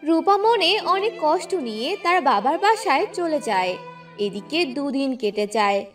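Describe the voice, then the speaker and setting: A woman's voice: high voice, big pitch swings, unhurried, recorded from a distance, faint music behind. A woman reading a scripted fairy tale as its narrator.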